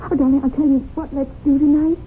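Actress as high-voiced earnest young wife, old radio. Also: female voice, level tone, fast talker, natural voice. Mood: earnest, concerned. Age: young adult. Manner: pleading, gentle, moralizing. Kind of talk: 1970s radio drama acting. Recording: tinny, noisy old radio broadcast.

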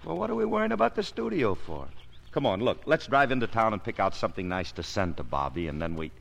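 A man's voice: fairly low pitch, big pitch swings, fast, creaky, fairly bright tone, full character voice. An actor playing an eager, wheedling showman husband and playful father in slangy mid-century radio drama style. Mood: excited, persuasive. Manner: slangy, breezy, persuasive. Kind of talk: 1940s-50s radio acting. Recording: vintage 1950 radio broadcast recording.

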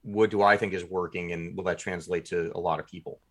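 A man's voice: sits low, fairly even intonation, brisk. A man speaking as a podcast guest in a casual, jovial interview, often thinking aloud as he talks about his creative work. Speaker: podcast guest, audio drama writer and producer.

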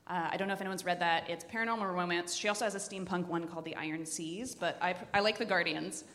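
A woman's voice: lowish voice, fast, fairly bright tone. A woman podcast host giving casual, enthusiastic book recommendations to a group, in a blunt and informal way. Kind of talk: casual chat before a live group. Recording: echoey hall with long reverb.

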